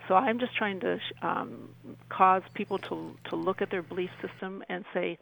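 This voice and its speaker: A woman's voice: mid-range voice, moderate pace, creaky, a bit bright, rough recording. A woman speaking earnestly and emphatically as a guest in a radio interview, often repeating phrases.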